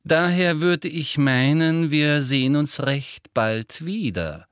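A man voicing a prim, falsely sweet middle-aged female official in an audiobook, slow, officious and condescending. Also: fairly high pitch, animated, clean tone, no echo, studio quality, voice pushed up. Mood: cold, officious. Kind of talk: audiobook character voice.

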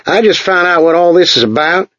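A man's voice: high-pitched, lively intonation, brisk, fairly bright tone, no echo, pitched above the performer's own voice. A man voicing a nervous, urgent American technician who talks in an anxious, pressing way in a storybook reading. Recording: dull lo-fi dry recording.

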